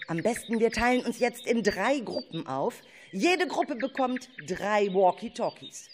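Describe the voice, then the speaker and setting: A woman acting in a children's radio play as a caring, cautious leader of schoolchildren in the forest, with a deliberate, expressive delivery. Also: a little high, a little hiss or hum.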